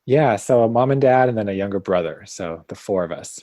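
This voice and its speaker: A man's voice: fairly low pitch, lively intonation, a little fast, mellow, dry recording. A man from Idaho with an American accent, chatting casually as a podcast guest in a mild-mannered, understated way. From Idaho, United States. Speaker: podcast guest, marketing executive. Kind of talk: casual interview chat.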